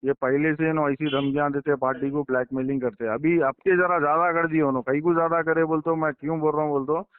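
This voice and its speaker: A man's voice: on the high side, fairly even intonation, fast talker, hardly any pauses. Insistent Hyderabadi man on a tinny phone line. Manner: insistent, repeats himself and presses points with questions. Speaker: political insider giving his account to a journalist. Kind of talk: informal phone conversation. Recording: tinny recorded phone call.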